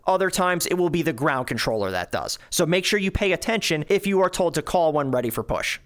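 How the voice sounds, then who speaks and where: A man presenting an instructional tutorial, explaining things clearly step by step. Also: on the high side, big pitch swings, brisk, fairly bright tone. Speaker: flight simulation tutorial presenter.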